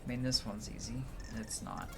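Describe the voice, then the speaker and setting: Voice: medium pitch, little pitch movement, normal speed, creaky. A game streamer of unclear sex talking casually and informally to themself while playing on a livestream. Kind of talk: casual game livestream commentary, voicing dialogue aloud. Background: game music.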